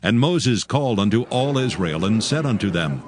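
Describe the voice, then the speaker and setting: A man serves as narrator for a scripted scripture reading. His voice sits on the low side. His intonation moves widely and animatedly through each phrase. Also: expressive, normal speed, a crowd in the background.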